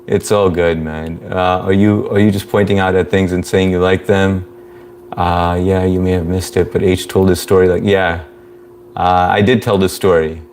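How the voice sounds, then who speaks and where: A man in his thirties hosting a casual live stream, greeting viewers and reading their comments aloud in a laid-back, monotone way with frequent chuckles. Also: low-pitched, moderate pace, slight background noise.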